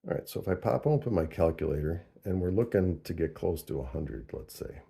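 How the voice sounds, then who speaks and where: A man narrating a casual hands-on tutorial, thinking aloud in a deliberate, halting way as he works through figures. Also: low voice, animated, gravelly, warm tone, husky. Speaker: host of a DIY audio tutorial.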